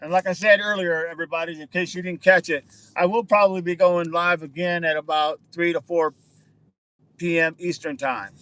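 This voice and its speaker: A man with a high voice hosts a chatty livestream outdoors, greeting viewers by name and answering their comments. He repeats words for emphasis. The sound is close and dry, with no room echo. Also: steady tone, normal speed, pushed and bright. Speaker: livestream host.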